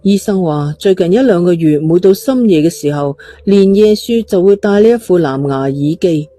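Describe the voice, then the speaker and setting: A woman's voice: fairly low pitch, animated, normal speed, mellow, no room sound. A woman with a Cantonese accent reading a suspense novel as a scripted, dramatic storyteller. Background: faint music.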